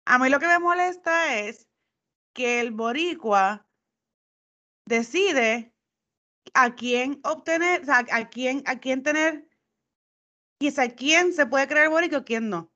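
A woman, co-hosting a podcast and arguing her point in an animated way with many restarts. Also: high voice, slow, pauses often. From United States, Puerto Rican family. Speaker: podcast co-host. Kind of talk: casual podcast chat. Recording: dull lo-fi sound.

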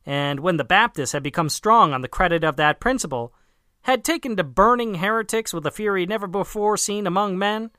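Audiobook narrator, bright forceful tone, dry close sound, formal scripted reading, measured, emphatic. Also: medium pitch, no echo. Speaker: audiobook reader. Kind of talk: scripted narration of a political essay.

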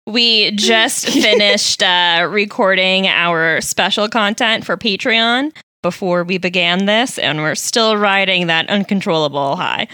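A woman's voice: mid-range voice, a little slow, hardly any pauses, pushed and bright, clear, resonant tone, no echo. A woman co-hosting a comedic podcast, chatting casually in a playful, teasing, giggly way. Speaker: podcast co-host.

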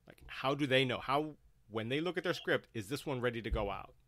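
A man's voice: medium pitch, lively intonation, brisk, a bit bright, dry recording. A man hosting and moderating a live-streamed panel discussion in a conversational way.

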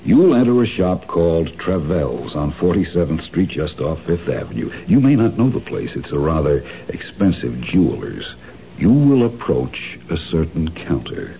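A suave, deep-voiced schemer. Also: male voice, sing-song, dark tone, heavily acted. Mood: instructing. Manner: suave, patronizing, measured. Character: smooth, polite, scheming male criminal mastermind. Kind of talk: 1970s radio drama acting. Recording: dull lo-fi old radio broadcast, faint hiss.

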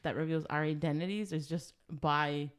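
A woman's voice: deep voice, steady tone, moderate pace, clean tone. A young woman co-hosting a podcast, telling a personal story in casual chat with lots of filler words. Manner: chatty storyteller, frequent "like" fillers. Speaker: podcast co-host.

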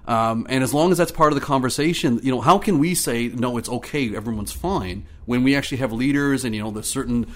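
A man's voice: medium pitch, fast talker, hardly any pauses. A Canadian man speaking as an interview guest, talkative and good-natured, telling things in an anecdotal, conversational way. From Ottawa, Canada.

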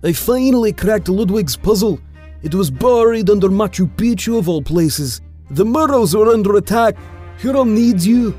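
A man reads a booming old Scottish grandfather, his voice lifted high yet dark and mellow. He bellows and rambles, scattered and warm-hearted, in a storybook reading with faint music behind. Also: lively intonation, normal speed. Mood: bellowing.

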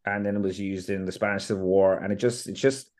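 A man's voice: sits low, fairly even intonation, fast talker, a little mellow. A man with an Irish accent talking casually as a podcast guest, rambling with many restarts and laughing often. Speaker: podcast guest, fan banner maker.

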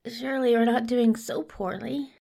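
A woman's voice: fairly high pitch, normal speed, creaky, mellow. A woman playing a tired, meek and polite younger brother, hesitant and apologetic, in a fan audio drama. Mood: meek, placating.

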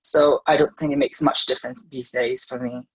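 A young man from Malaysia, a law student, answering interview questions in a thoughtful, measured way. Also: medium pitch, big pitch swings, gravelly, breathy edge, rough recording.

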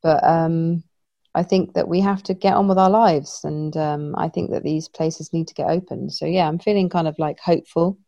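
A woman with a mellow, somewhat low voice sounds congested and a little wheezy. Her recording is muffled and dull, and she pauses often with ums. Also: fairly even intonation, gravelly. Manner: hesitant with many ums. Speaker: podcast co-host. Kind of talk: casual family chat.

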